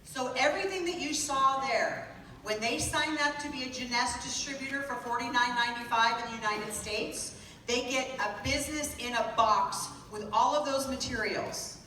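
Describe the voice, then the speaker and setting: A woman speaks upbeat and persuasive from a convention stage into a handheld mic. Her tone is bright and a bit high. The recording comes from far back in the room and is full of hall echo with a faint hiss. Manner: upbeat, persuasive, with pauses for a live interpreter. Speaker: corporate presenter. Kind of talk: stage presentation to a sales audience. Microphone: handheld mic, recorded from far in the audience. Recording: echoey hall taped from far off.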